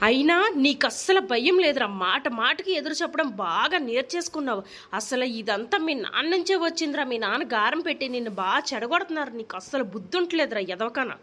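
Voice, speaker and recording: A woman's voice: sits high, animated, quick pace, fairly bright tone. A woman voicing an exasperated, nagging young mother in a comedy cartoon, with a Telugu accent and sarcastic, threatening scolding.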